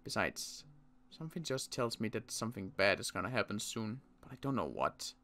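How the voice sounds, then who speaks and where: Bright, clear-voiced young man roleplaying. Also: lowish voice, animated. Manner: halting, many restarts, protective older-survivor tone. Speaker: roleplay series player. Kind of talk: in-character roleplay chat.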